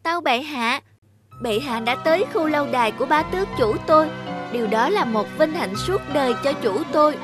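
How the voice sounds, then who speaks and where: A cunning talking cat from a cartoon fairy tale. The voice is very high, the speech courtly and persuasive. Music plays clearly behind it. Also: female voice, lively intonation, brisk. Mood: courtly, proud. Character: clever, polite, cunning talking male cat in boots.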